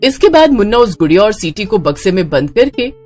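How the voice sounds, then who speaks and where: A woman narrates a horror story with dramatic, scripted delivery. Her mid-pitched voice moves in a lively, animated way as she tells the tale. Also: a little fast, soft background music.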